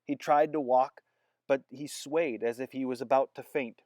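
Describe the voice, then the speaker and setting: A man reading a children's chapter book aloud with expressive storytelling. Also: mid-range voice, moderate pace, no echo, studio quality. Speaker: narrator.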